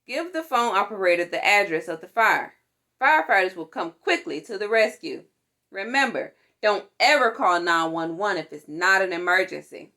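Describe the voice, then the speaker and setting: A woman reading a children's picture book aloud in an expressive, deliberate way, with playful exclamations. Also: medium pitch. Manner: deliberate, animated, with playful sound words and exclamations. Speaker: storytime reader for a fire department.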